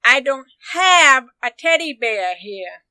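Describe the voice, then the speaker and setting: A woman's voice: high-pitched, very animated, slow pace, heavy background noise, exaggerated voice. A woman voicing a small, polite boy in a children's storybook, raising hesitant, plaintive objections.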